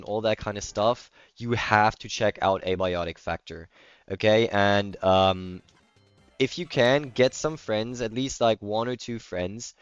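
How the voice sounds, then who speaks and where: A man's voice: lowish voice, clean tone, dry recording, lo-fi sound. A man with a German accent, a native German speaker, chatting casually as he livestreams a game and answers viewer comments. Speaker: livestreamer playing a video game. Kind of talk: casual chat, replying to stream comments.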